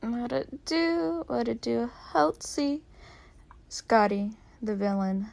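A woman's voice: on the high side, lively intonation, slow, vocal fry, very noisy. A woman calling in to a live show, chatting casually in a sassy, slangy, playful way. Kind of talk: casual chat.

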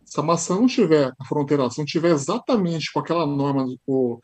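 Give me a lively Paulista man with a husky voice and a rapid pace, chatting as a guest on a podcast. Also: fairly high pitch. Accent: São Paulo Portuguese. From Brazil. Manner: animated storyteller, acts out quoted dialogue. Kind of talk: casual interview chat.

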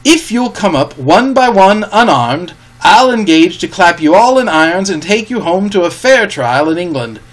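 A man voicing a proud, stern ship's captain who speaks in measured, commanding words. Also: high-pitched, very animated, voice pushed up. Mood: defiant. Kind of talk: storybook reading.

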